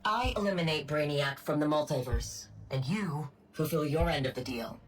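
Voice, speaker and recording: Low-voiced, coldly logical woman scientist played by an actress. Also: far from the mic, natural voice. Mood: cold, curt. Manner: measured, formal, clipped. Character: coldly logical woman scientist devoted to her frozen wife. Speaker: lead character in a video game cutscene. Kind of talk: dramatic game cutscene narration and dialogue.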